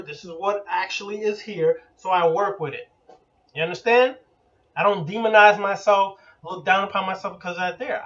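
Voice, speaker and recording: A man with a fairly high voice lectures bluntly and swears freely, hammering home points with rhetorical questions. He sounds set back from the microphone, and the sound is dull and lo-fi. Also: a bit bright. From Puerto Rican heritage. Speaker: occult teacher. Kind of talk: profane lecture. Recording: distant, muffled lo-fi recording.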